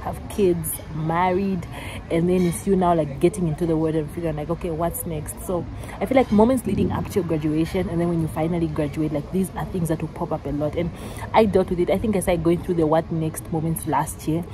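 A woman's voice: on the low side, fairly even intonation, brisk, background noise. A woman in her twenties chatting casually in a vlog, rambling with many fillers and restarts. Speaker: vlogger. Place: out and about, then a café.

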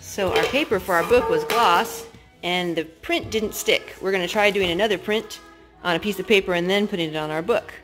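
A woman's voice: on the low side, brisk, over music. Encouraging woman chatting through a kids' craft. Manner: encouraging, sing-song child-directed talk. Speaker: parent hosting a children's craft video. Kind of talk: casual chat with small children while narrating a craft. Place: indoors at home, wood-floored living room. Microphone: handheld phone filming.

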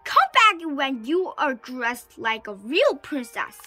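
A woman's voice: sits high, big pitch swings, takes it slowly, sharp tone, no room sound, faint music behind, full character voice. A woman voicing a vain, fussy young prince who scolds in a children's storybook. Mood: disdainful.

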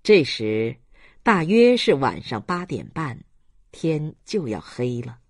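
A man reading a novel aloud as a dramatic, deliberate audiobook narrator. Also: mid-range voice, very animated, vocal fry, dry recording, clean studio sound.

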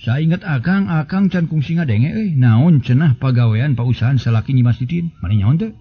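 A man's voice: medium pitch, expressive, normal speed, slightly rough, muffled recording, voice pushed up. A man voicing a probing, teasing village friend in an audio story, speaking in a colloquial, nudging way. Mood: curious. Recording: music bed behind the voice.

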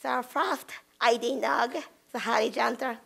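A woman with a hoarse, lost voice, chairing a conference session in a hall at a table microphone and apologizing as she introduces speakers. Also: fairly high pitch, fairly even intonation, unhurried, a bit bright. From Japan. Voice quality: rough, gravelly. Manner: apologetic, chatty with fillers.

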